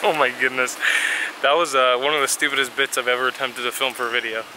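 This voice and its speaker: A man's voice: medium pitch, little pitch movement, fast, noisy background. A young man talking to a handheld camera as he travels, chatty and jokey with his viewers. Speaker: travel vlogger. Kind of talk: casual vlog narration. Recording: loud music behind the voice.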